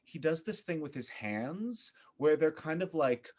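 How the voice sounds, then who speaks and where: Expressive man in casual conversation. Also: on the high side, a little slow. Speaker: podcast guest; former actor.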